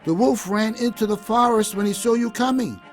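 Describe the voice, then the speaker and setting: A man's voice: high-pitched, moderate pace, soft background music, voice pushed up, natural voice. A man voicing a bored, mischievous young shepherd boy in a children's storybook, whiny and exaggerated. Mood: feigned innocence.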